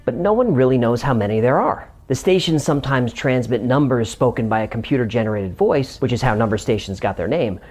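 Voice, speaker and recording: A man's voice: mid-range voice, expressive, brisk, few pauses, darkish tone. A man hosting a scripted explainer, narrating it in a conversational way with room for comic banter. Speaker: host.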